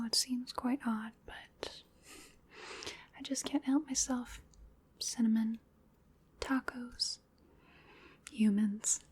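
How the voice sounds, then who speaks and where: Female performer as playful, hungry vampire lady for ASMR roleplay: whispered, very slow delivery, polite and coaxing, musing over her prey. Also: a little high, warm tone, slightly rough, exaggerated voice.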